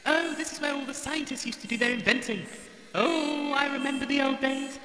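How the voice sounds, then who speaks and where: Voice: sits high, a little fast, bright, forceful tone. An actor voicing a fussy, chatty old cleaning robot with a genteel, motherly manner in a comic audio drama. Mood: nostalgic. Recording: echoey hall with noise.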